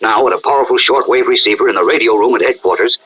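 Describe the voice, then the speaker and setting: A man's voice: mid-range voice, quick pace. A man narrating a serial in a dramatic 1940s radio announcing style. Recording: muffled vintage radio recording.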